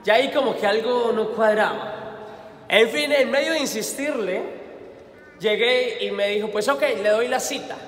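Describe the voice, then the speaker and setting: A man with a Colombian accent, a priest giving a homily at mass. He is lively and keeps asking the congregation questions, then answering them himself, and he tells stories from his own life. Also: high-pitched, moderate pace. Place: church. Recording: echoey church hall, faint background noise.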